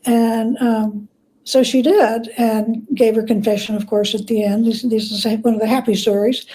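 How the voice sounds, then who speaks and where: Female interview guest, higher register, relaxed timbre, conversational storyteller who voices quoted dialogue. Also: normal speed, poor audio. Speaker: guest biographer.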